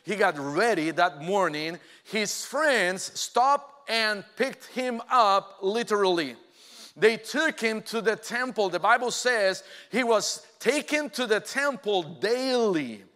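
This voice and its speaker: A man, preaching a sermon in an earnest way, often pausing with "uh". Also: sits high, animated, bright, forceful tone. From Mexico. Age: 40s. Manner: earnest, hesitant with frequent "uh" fillers. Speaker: pastor. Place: church sanctuary. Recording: long reverb from a large church hall.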